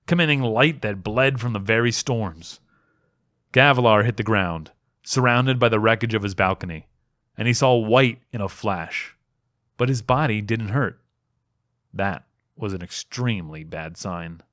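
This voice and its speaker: A man reading a fantasy story aloud as dramatic audiobook narration, expressive and with deliberate pauses. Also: mid-range voice, no room sound, clean studio sound. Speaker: amateur reader, a fan.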